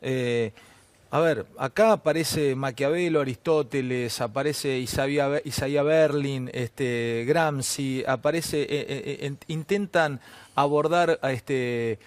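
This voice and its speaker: A middle-aged man with an Argentine accent hosting a TV interview, talking quickly and cutting in with questions. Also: medium pitch, no room sound. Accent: Argentine Spanish. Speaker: TV host.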